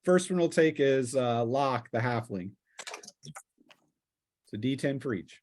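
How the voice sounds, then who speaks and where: A man narrating a tabletop role-playing game as game master, improvising in a conversational, halting way. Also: mid-range voice, expressive, darkish tone, slight background noise.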